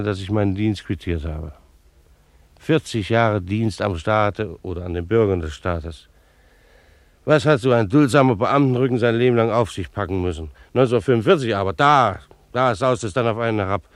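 A man's voice: on the low side, no echo. An actor in a German radio play, playing a retired bailiff and beekeeper in his sixties, dutiful, fussy and wounded, with a formal, reflective manner. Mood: formal, reminiscing, then indignant. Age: 60s. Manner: formal, reflective, old-fashioned official's wording. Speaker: actor narrating in character.

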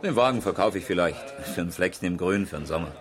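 A man playing a chatty rally driver in a radio crime drama, talking casually and a little haltingly to a friend. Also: low-pitched, expressive, faint music behind. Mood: uneasy, chatty.

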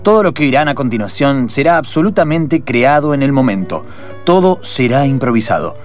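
A man's voice: medium pitch, sing-song, normal speed, faint music behind. A man introducing an improvised radio theatre show as its announcer.

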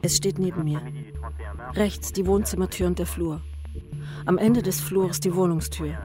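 Actress as an anguished waiting woman, measured, music behind. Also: lowish voice, steady tone, close to an everyday voice. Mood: anxious waiting. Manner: measured, introspective, short fragmented sentences. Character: anguished woman waiting for her husband's return from the camps. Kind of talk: literary radio drama monologue.

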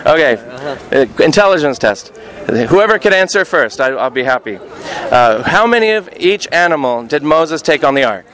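Higher-pitched American man hosting radio. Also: very animated, normal speed, fairly bright tone, rough recording. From United States. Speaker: radio show host and interviewer. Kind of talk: radio intro and street interview.

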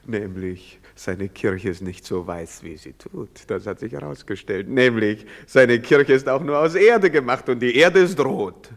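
An actor playing a lecherous, mocking young soldier in a German stage drama, jeering and declaiming with a swagger. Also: male voice, mid-range voice, expressive, a little slow, hardly any pauses. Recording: echoey theatre-style hall reverb.

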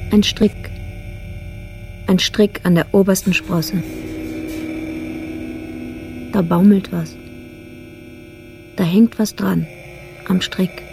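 An actress playing an uneasy woman drawn into a detective's nightmare in a radio play, speaking in halting fragments. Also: medium pitch, fairly even intonation, vocal fry, music in the background. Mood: dread, disbelief. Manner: halting, short fragmented phrases.

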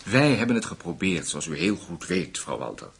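An actor playing a shrewd German intelligence official in a radio drama, speaking formally and deliberately. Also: male voice, low voice, big pitch swings, fairly bright tone, slightly rough, full character voice. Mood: firm, cautioning.

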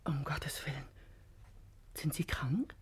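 An actress playing an anxious, frightened woman in a 1950s German radio play, hesitant and often hushed, on a noisy old recording. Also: low-pitched, takes it slowly, whispered, full character voice. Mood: startled, concerned. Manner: hushed, breathy, hesitant pleading. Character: frightened, anxious wife in a lonely manor.

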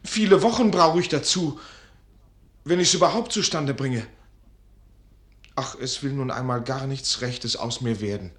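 An actor playing a timid, lovestruck young student in a radio play, earnest, plaintive and theatrical. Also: male voice, mid-range voice, unhurried, long pauses, close to an everyday voice. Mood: dismayed, despairing. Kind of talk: German radio play acting of a literary classic.

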